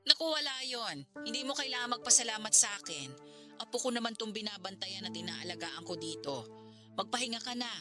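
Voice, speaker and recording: A woman's voice: on the high side, expressive, a little fast, music in the background, lightly acted. A woman playing a protective, disapproving middle-aged grandmother in a Filipino radio drama. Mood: caring. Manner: assertive, motherly.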